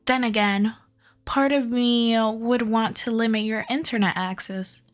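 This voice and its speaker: Casting: actress as lovesick female kidnapper for ASMR roleplay, high-pitched, unhurried, doting and softly controlling, music underneath. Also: steady tone, lightly acted. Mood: sweetly possessive. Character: obsessive, sweetly possessive young woman kidnapper in love.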